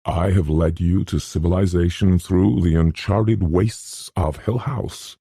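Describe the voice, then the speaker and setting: A man's voice: deep voice, unhurried, creaky, dark tone, breathy edge, dry recording, clean studio sound, voice pushed up, exaggerated voice. A man voicing a genial, complacent older scholar with a precise, professorial manner. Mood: complacent, pleased. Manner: precise, pleased with himself, professorial.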